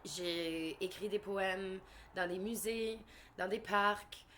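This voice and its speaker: A woman's voice: mid-range voice, fairly even intonation, slow, sharp tone, slight background noise. A woman with a Canadian French accent, a singer-songwriter answering interview questions casually, hesitating often and slipping English words into her French. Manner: hesitant, many fillers and restarts.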